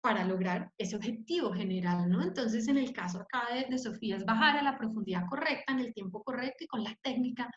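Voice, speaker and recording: A woman leads a live online training session, explaining her points step by step and often turning to ask her listeners questions. Her voice is a bit higher than most women's. The sound is roomy and echoey, with dull, lo-fi audio from a computer mic on a video call. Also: fairly quick, hardly any pauses. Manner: explanatory, checks in with the audience, some fillers. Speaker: webinar presenter.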